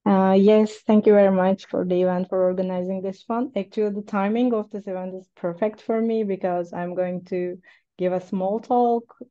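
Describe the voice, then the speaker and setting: A woman's voice: medium pitch, steady tone, moderate pace, mellow. A woman attending a webinar, asking a question hesitantly with fillers over a video call. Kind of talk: casual question. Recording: dull lo-fi call audio.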